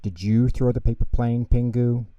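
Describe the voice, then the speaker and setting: A man voicing a stern penguin schoolteacher in a children's storybook, questioning deliberately. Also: mid-range voice, little pitch movement, warm tone, no room sound, close to an everyday voice. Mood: stern, suspicious. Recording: dull, lo-fi close recording.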